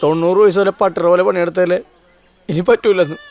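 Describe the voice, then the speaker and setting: An actor playing the complaining, exasperated son of a poor household in a comedy clip. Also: male voice, high voice, fairly even intonation, fast, a little mellow. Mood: aggrieved complaining.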